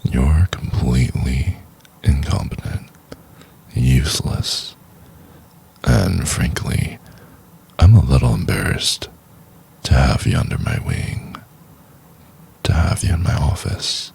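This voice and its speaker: A man playing a domineering, possessive CEO boss in an intimate ASMR roleplay, in a gravelly, breathy half-whisper. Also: a bass voice, animated, a little mellow, a little hiss or hum, exaggerated voice. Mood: cold, contemptuous. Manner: slow, commanding, intimate.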